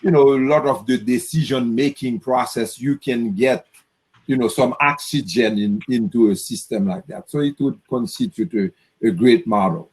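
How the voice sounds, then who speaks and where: A man from Haiti asking a question on a video call, halting often with fillers. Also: mid-range voice, darkish tone. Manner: slow and halting, many "uh" fillers. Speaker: audience member asking a question. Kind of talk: online Q&A question.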